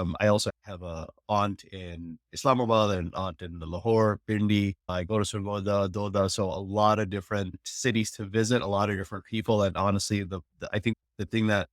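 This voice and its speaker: Low-voiced man in his thirties, dry sound. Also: fairly even intonation, normal speed, no room sound. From New York, United States. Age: 30s. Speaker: interview guest, technology executive. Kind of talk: personal storytelling in an interview.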